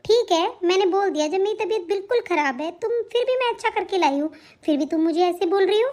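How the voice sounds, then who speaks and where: Cartoon role: elderly mother-in-law played by a woman, extremely high voice, meek, aggrieved, placating. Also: animated, quick pace, darkish tone. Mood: weary, aggrieved. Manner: plaintive, complaining, placating. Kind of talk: Hindi family cartoon drama dialogue.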